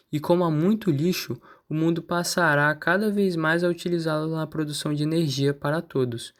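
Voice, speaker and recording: A man's voice: on the high side, natural voice. A man with a Brazilian accent playing a studious, curious student who explains things like a school presentation in an amateur radio play. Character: studious, curious male student answering teacher. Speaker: student in class.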